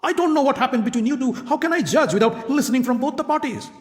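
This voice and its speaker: A man's voice: extremely high pitch, lively intonation, fast, big-room sound, voice pushed up. A nervous king, as a male narrator puts him on, defensive and evasive. He dodges blame as he speaks. The delivery is that of a storybook character.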